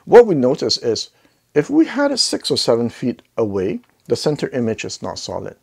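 A middle-aged man presents a review in a conversational style. His pitch is average for a man, and he leans on key words, repeating them for emphasis. He speaks into a clip-on mic. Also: huge pitch swings, moderate pace, vocal fry, husky. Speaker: host of an audio gear review channel. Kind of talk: casual product review to camera. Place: living room listening space with acoustic wall panels. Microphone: clip-on lapel mic.

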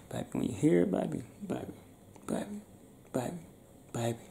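A young man doing an improvised ASMR boyfriend roleplay, talking softly and affectionately in a breathy, teasing, near-whispered way. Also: on the high side, animated, moderate pace. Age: young adult. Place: small bedroom, lying on a bed indoors. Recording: roomy sound with faint background noise.

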